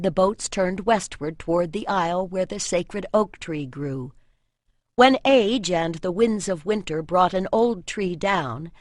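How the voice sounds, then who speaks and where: A woman narrates a fantasy novel in a somewhat low voice. Her storytelling is measured and literary. The sound is dry and close, with no room around it. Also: no room sound. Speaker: audiobook narrator. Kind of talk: scripted fantasy audiobook narration.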